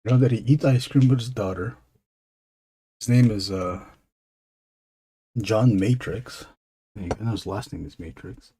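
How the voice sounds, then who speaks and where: A man with a husky voice, chatting casually with his live-stream viewers as he draws, rambling and asking them questions. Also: lowish voice, a little slow, pauses often, dark tone, slight background noise, low-quality recording. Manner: rambling, talks to chat readers. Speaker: illustrator streaming his drawing. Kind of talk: casual live-stream chat while drawing.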